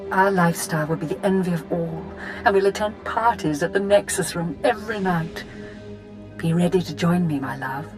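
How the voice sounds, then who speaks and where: A woman's voice: lowish voice, normal speed, close to an everyday voice. A woman voicing a refined, lovestruck noblewoman whose speech is formal and honeyed. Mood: affectionate. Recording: game audio with music.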